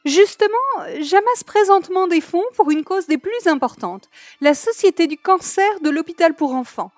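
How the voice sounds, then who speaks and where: A woman's voice: very high voice, expressive, moderate pace, darkish tone, no echo, soft background music. A woman playing a prim, pushy older charity lady with affected, flowery manners in a comic old-time radio play. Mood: sweetly pressing. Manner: affected, flowery, genteel speech.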